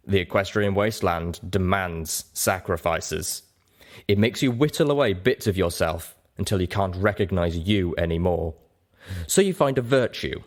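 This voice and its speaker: A man voicing a weary, grim pony merchant and father in an audiobook, speaking slowly and deliberately with bitter candour. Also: deep voice, close to an everyday voice. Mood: grave, resolute. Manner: slow, deliberate, bitterly confessional. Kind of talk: audiobook character voice.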